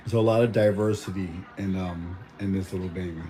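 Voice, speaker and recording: A man's voice: deep voice, fairly even intonation, a little fast, dark tone, a little hiss or hum. A young man born in Mexico chatting casually at home, rambling with lots of fillers and restarts. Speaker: vlogger. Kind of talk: casual chat.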